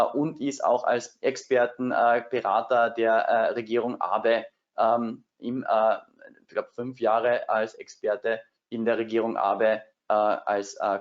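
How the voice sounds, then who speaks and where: Man, webinar moderator, many filler pauses, mid-pitched voice, slightly muffled online-call sound. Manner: frequent filler hesitations.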